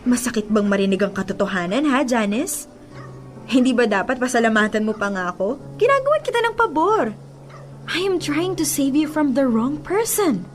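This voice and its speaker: A woman's voice: fairly high pitch, sing-song, normal speed. A young Filipino-accented woman, a jealous ex fighting for her man. She is emphatic and confrontational, in radio drama style, with music underneath. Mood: taunting, angry. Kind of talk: radio drama acting in mixed Tagalog and English.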